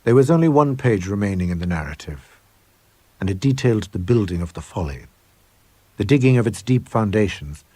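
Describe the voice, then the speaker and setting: A man narrating a scripted ghost story in a measured, suspenseful storytelling manner. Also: lowish voice, expressive, dark tone, slightly rough, no room sound. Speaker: audiobook narrator.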